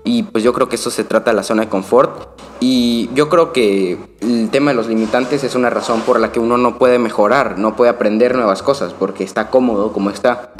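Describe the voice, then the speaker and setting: A man's voice: medium pitch, fairly even intonation, a little fast, slight background noise. A young man from Venezuela co-hosting a podcast, talking conversationally about self-improvement. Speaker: podcast co-host. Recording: long reverb, music behind the voice. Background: music.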